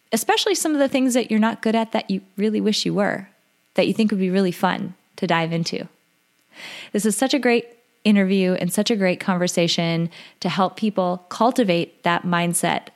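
A woman hosting a podcast, introducing her guest with enthusiastic, emphatic delivery. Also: medium pitch. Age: adult parent. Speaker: podcast host.

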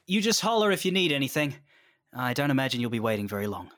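An actor playing a courteous, sympathetic magical guard with a folksy, easygoing manner in an audio drama. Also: male voice, mid-range voice, sing-song, fast talker, a bit bright, a little hiss or hum. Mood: polite, cautioning.

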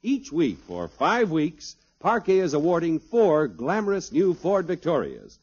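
A man's voice: fairly high pitch, wildly swooping pitch, measured pace, a little mellow, breathy edge. A man with an American accent announcing a 1950s radio advertisement. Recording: dull vintage 1950s radio recording.

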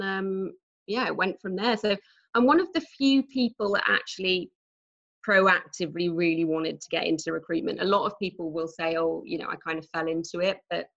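An English woman with a Norwich accent, in her forties, talks through her work in an easy, chatty way, filling pauses with ums. Her voice sits a little low. The audio is dull and lo-fi, like a remote call. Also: creaky. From England. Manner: warm chatty explaining, frequent ums. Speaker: recruitment manager, guest. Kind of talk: conversational podcast interview.

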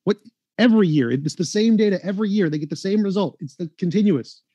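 A man arguing in a heated live debate, pressing his points insistently and talking over his opponent. His voice sits a bit high but has a mellow, dark color, and the sound is dry and close. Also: animated, no echo, highs cut off. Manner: insistent, rapid-fire, talks over others.

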